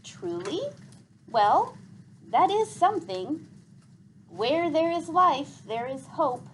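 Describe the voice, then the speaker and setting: Woman reading as a slow, weary old man. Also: high-pitched, animated, a little hiss or hum, voice pushed up. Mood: cheered. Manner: formal, measured. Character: weary old man, formal, gentle peacemaker.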